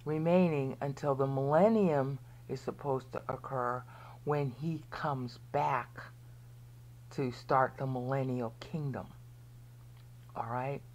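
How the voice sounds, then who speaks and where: Low-voiced American woman teaching scripture. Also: a little mellow. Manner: rambling, explanatory, often asks okay; drops to a near whisper at times. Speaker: Bible teacher. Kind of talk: screen-share Bible lesson.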